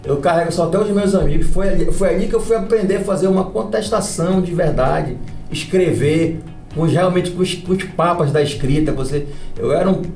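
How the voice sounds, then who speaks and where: Fast-talking man, lightly high voice. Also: gravelly, a little mellow. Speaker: podcast host and lawyer. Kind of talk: casual podcast conversation with anecdotes. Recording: roomy echo, faint music.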